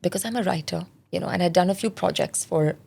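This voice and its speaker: A woman's voice: lowish voice, fast talker, gravelly, darkish tone, husky. A woman in her forties, born in India, speaking as a parenting specialist in a candid, reflective interview. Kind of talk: conversational interview.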